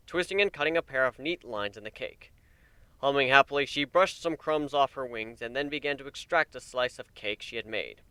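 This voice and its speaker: A man's voice: medium pitch, pushed and bright, clear, resonant tone, no echo. A man narrating a story as a dramatic reading.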